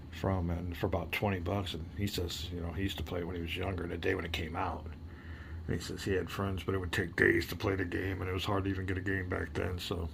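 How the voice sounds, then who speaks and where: A man hosting a casual board game playthrough, rambling and chatty, muttering rules half under his breath and sounding a little hoarse. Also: sits low, a little hiss or hum. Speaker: board game video host. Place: home room with game tables.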